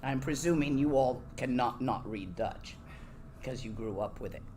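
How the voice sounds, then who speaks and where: A woman's voice: very deep voice, normal speed, background noise. A woman giving a Buddhist dharma talk to a live audience, conversational and wry, often asking her listeners questions. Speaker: Buddhist teacher.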